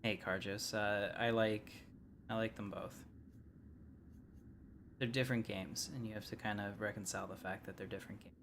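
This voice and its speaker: A man's voice: on the low side, fairly even intonation, moderate pace, vocal fry, pauses often, slight background noise. A man giving casual, rambling live commentary while streaming a video game. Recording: loud game ambience behind the voice.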